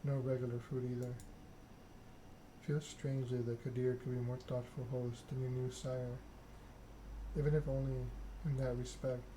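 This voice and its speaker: A man softly narrating a story game in ASMR style, reading in a measured, deliberate way. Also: medium pitch, little pitch movement. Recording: clearly noisy background ambience.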